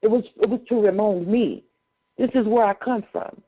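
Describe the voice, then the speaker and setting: A woman's voice: mid-range voice, normal speed. A woman guest, a poet and speaker, candidly telling her story in a talkative way. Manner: talkative, candid, restarts often. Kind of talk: personal storytelling on a call. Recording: tinny phone line.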